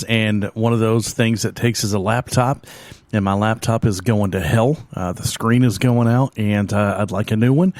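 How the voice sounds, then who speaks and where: A man's voice: medium pitch, darkish tone, dry recording. A man with a Southern American accent hosting a metal radio show, chatting loosely with lots of ums and uhs and self-deprecating asides from a small home studio. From Oklahoma, United States. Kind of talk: casual rambling radio chat.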